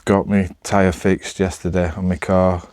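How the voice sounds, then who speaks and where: A man's voice: low-pitched, little pitch movement, unhurried, darkish tone, no echo. A man with a Northern English accent chatting casually to camera, rambling in a hesitant, self-deprecating way. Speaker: amateur vlogger.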